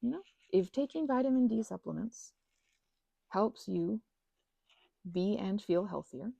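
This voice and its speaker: A woman in her thirties giving a casual, playful ASMR ramble with emphatic, slangy phrasing and a husky, breathy voice with vocal fry. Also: medium pitch, animated, unhurried, dark tone, dry recording. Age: 30s. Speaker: ASMR channel host.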